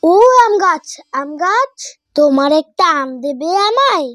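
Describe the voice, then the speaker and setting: A woman's voice: sits high, very animated, unhurried, fairly bright tone, no room sound, studio quality, soft background music, exaggerated voice. A woman voicing an earnest, devoted young village boy in a cartoon. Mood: earnest, polite. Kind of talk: cartoon character voice.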